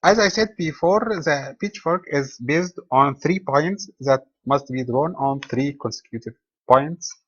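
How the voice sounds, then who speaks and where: A man from Egypt presenting a trading webinar as host, delivering a steady scripted lecture with non-native phrasing. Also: on the high side, unhurried, breathy edge, no echo, highs cut off.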